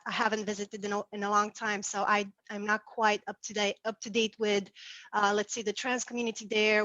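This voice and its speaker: A woman's voice: mid-range voice, a bit bright, no room sound. Woman, earnest and searching, dry dull call audio. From Romania. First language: Romanian. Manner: earnest and searching, with frequent restarts. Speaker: audience member asking a question. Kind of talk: casual question in a video call.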